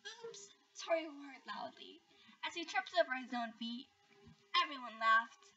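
A woman reading a bedtime story aloud with expressive, deliberate storybook phrasing, recorded indoors at home. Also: high voice. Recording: distant, muffled home recording with faint noise.

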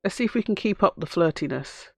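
A woman with a hoarse voice from a cough, chatting casually as she plays a life-sim game and talks to her characters. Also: mid-range voice, expressive, quick pace. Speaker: let's play host. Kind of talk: casual gameplay commentary.

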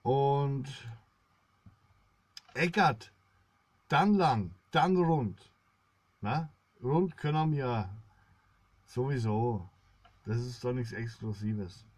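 Middle aged guy rambling slowly to camera, kinda solemn, dry close room sound. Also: medium pitch, expressive, no room sound. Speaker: blogger, solo video host. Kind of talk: rambling spoken monologue to viewers.

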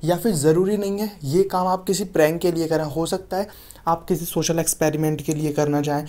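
A man's voice: fairly high pitch, steady tone, fairly quick, mellow. A man giving an app tutorial voice-over as a YouTube host, often addressing his viewers as friends.

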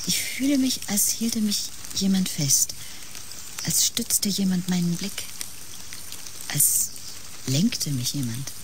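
An actress playing a fragile, dreamy woman, speaking in a hushed, halting, half-whispered way in a radio drama. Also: fairly low pitch, background noise. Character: fragile, dreamy woman feeling a strange change. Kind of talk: German science-fiction radio drama acting. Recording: loud rain behind the voice. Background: rain.

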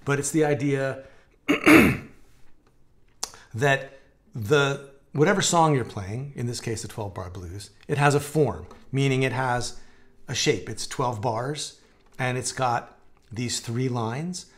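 A man in his forties teaching a guitar lesson in a relaxed, conversational way, his voice a little gravelly from a dry throat. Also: mid-range voice, takes it slowly, long pauses. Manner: relaxed, conversational, explaining with hand gestures and demonstrating. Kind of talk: live-streamed guitar lesson. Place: home music room with guitars on the walls.

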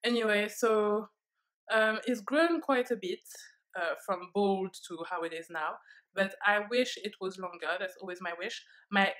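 A woman's voice: medium pitch, a little slow, fairly bright tone. A young woman with a French accent, talking to camera from her living room in a candid, reflective way with frequent fillers. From France. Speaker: vlogger. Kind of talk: casual talk to camera. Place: living room, seated on a leather sofa.

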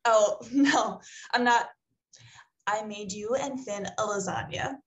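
A woman's voice: medium pitch, takes it slowly, close to an everyday voice. A woman playing a perky, eager-to-please, over-friendly neighbor in her thirties at a comedy table read on a video call. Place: home room on a video call.

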